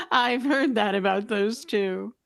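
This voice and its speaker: A woman's voice: mid-range voice, takes it slowly, creaky, a bit bright. A woman acting as an announcer's sidekick in scripted radio sponsor banter, inquisitive and a little theatrical.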